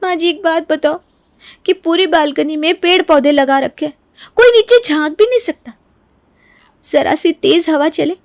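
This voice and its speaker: A woman voicing an aggrieved, sharp-tongued young daughter-in-law who complains and accuses in a cartoon family story. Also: sits high, pitched above the performer's own voice. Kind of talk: animated family story dialogue.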